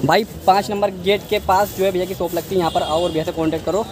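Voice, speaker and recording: A man's voice: high voice, fairly even intonation, quick pace. A young man in his twenties vlogging as he walks a busy outdoor street market, chatting to viewers and repeating prices, filmed on a handheld phone. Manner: chatty, addresses viewers as guys and brother, asks prices and repeats them. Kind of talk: market walk-through vlog. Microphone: handheld phone at arm's length. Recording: loud street crowd behind.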